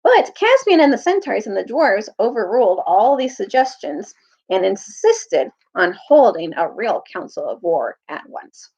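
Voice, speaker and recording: A woman reads a children's novel aloud with a very animated, swooping delivery. Her voice has a husky edge and she sometimes rereads a line. The audio is a little dull and lo-fi. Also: medium pitch, a little slow. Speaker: storyteller reading aloud to students.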